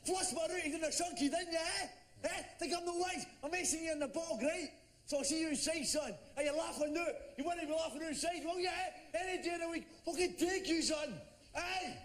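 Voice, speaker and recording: A man playing a snarling young British thug who taunts and threatens, shouting. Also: very high voice, fairly quick, voice pushed up. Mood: menacing.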